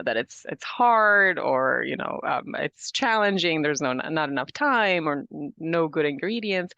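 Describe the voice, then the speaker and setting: A woman hosting a podcast interview, speaking in a measured way with some hesitant fillers. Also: low voice, a bit bright, no room sound, muffled recording. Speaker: podcast host, business coach.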